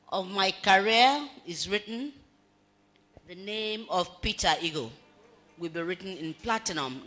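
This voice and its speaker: A woman hosts a conference as master of ceremonies. She talks slowly, with a bright tone, and pauses on fillers while introducing speakers. Faint music plays behind her. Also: mid-range voice. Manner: slow, with fillers and restarts. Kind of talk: event hosting.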